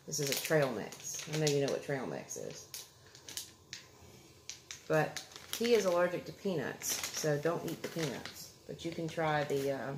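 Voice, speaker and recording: Low-voiced woman in her 30s rambling homey about snacks at the table, roomy echo, lots of background noise. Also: sing-song, unhurried. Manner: homey, talks to viewers and son while unwrapping food. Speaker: food reviewer vlogger. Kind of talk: casual chatty food tasting. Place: home dining room, indoors. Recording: echoey room with clear background noise.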